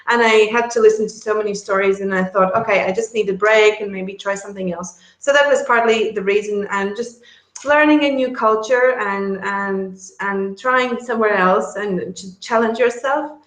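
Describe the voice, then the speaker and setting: A woman's voice: medium pitch, moderate pace, fairly bright tone, echoey room, poor audio. A woman from Latvia with a Latvian accent, a guest chatting casually in an interview, laughing often and restarting her sentences. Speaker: guest, relocation service founder.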